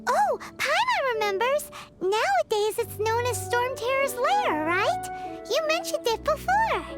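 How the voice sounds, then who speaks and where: A woman's voice: extremely high pitch, very animated, measured pace, exaggerated voice. A woman voicing a tiny, chirpy, curious female fairy companion in a game, who refers to herself in the third person. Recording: game audio with loud music.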